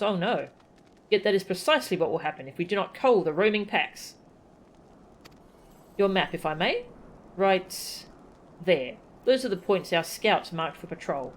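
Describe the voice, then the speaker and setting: A woman's voice: fairly low pitch, expressive, normal speed. A woman voicing a haughty, breezy young nobleman knight from a fantasy game, with drawling, old-fashioned aristocratic phrasing. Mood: haughty, sardonic. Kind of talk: reading game dialogue aloud in character. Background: game music.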